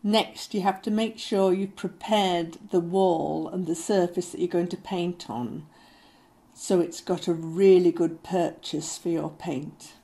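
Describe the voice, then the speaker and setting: Unhurried older woman teaching painting. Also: lowish voice, steady tone, creaky, dark tone. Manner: unhurried, chatty, practical. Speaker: art tutor. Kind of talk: home craft tutorial. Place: small room at home, indoors.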